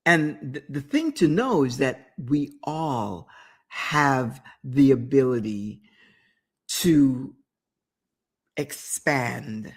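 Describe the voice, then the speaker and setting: A woman host delivers a motivational talk at an unhurried pace, her pitch rising and falling in wide, lively swings. She repeats key words for emphasis and turns to address the listener directly with questions. Her speaking voice sits at a middle pitch. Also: breathy edge. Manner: storytelling with quoted inner thoughts.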